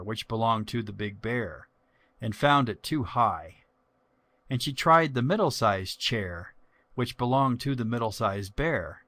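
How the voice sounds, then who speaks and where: A man narrating a children's story from a script in a measured, expressive way. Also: medium pitch, no room sound.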